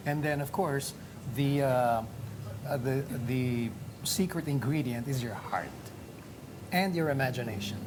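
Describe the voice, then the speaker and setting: Older man, halting answers. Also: mid-range voice. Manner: halting, searching for words. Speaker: voice artist guest, father. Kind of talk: studio interview answers. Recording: noisy studio recording.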